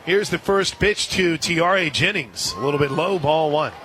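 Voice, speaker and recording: An American man calling live softball play-by-play on the radio, slipping into conversational asides between pitches. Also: normal speed. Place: outdoor softball stadium. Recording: noisy outdoor stadium broadcast, loud crowd. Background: crowd and stadium noise.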